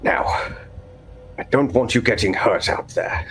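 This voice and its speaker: A man's voice: on the low side, a little slow, a bit bright, soft background music. A man voicing a stern, fretful father who runs an underground vault, in a curt, commanding manner. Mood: hushed, grave.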